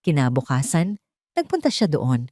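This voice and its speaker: A woman's voice: low-pitched, very animated, normal speed, dark tone, no echo, studio quality. A woman narrator reading a scripted romance drama in a dramatic storytelling style.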